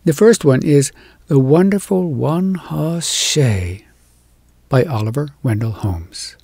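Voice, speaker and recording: A man reading humorous verse aloud as a storyteller, in an animated, theatrical, folksy manner with drawn-out words and sudden emphasis. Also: fairly high pitch, creaky, breathy edge, dry recording. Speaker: storyteller, audiobook narrator.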